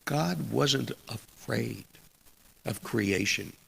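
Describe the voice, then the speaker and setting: A middle-aged man delivering a sermon in a deliberate, measured way with dramatic pauses and a gravelly, husky voice. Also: medium pitch, fairly bright tone. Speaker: preacher reading a sermon. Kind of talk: sermon with read quotations. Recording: long echoing hall reverb.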